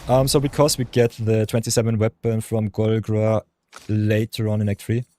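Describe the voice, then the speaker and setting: Male game streamer, deep voice, easygoing chatter with chat, mutters to himself mid-play. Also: flat delivery, normal speed, dark tone. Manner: chatty, talks to chat viewers, hums and mutters while thinking. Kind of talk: casual live-stream chat over gameplay.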